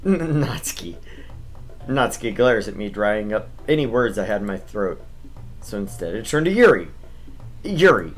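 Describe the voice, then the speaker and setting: A man's voice: lowish voice, sing-song, moderate pace, pauses often, faint music behind. A man reading a visual novel as its awkward, reluctant schoolboy narrator, telling the story and speaking his hesitant lines. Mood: awkward, pleading.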